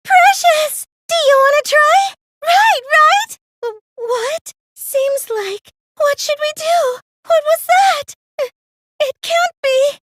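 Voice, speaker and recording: A woman voicing a soft-spoken, excitable teenage cosplay fangirl in an anime game, with a hesitant, girlish and theatrically emotive delivery. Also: very high-pitched, slow, very noisy, exaggerated voice. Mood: excited, then alarmed.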